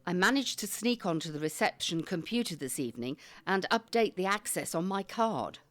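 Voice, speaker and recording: A woman playing a bossy, animated older ringleader. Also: lowish voice, moderate pace, no room sound, natural voice. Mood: proud. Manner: decisive, impatient, commanding. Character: bossy, capable retired woman, sharp-witted care-home ringleader. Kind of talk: audio comedy drama acting.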